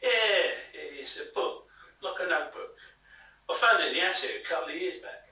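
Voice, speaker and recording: A man acting as a matey British pub landlord in an audio drama, informal yet guarded. His voice is very bright and fairly high, heard in a heavily echoing room. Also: expressive, moderate pace. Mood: guarded, defensive. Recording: very echoey room, distant mic.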